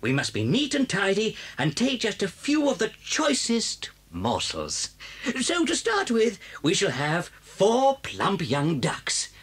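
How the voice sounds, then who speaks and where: A man's voice: high voice, wildly swooping pitch, slow, a bit bright, breathy edge, heavily acted. A British actor playing a sly, confident, charming father fox in a children's audio story, suave and theatrical, relishing his words. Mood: bossy, gleeful.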